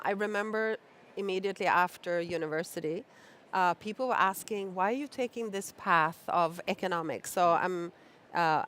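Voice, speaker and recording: A woman speaking as a guest on a conference panel, earnest and slightly halting. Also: mid-range voice, fairly bright tone. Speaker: panel guest, programme manager.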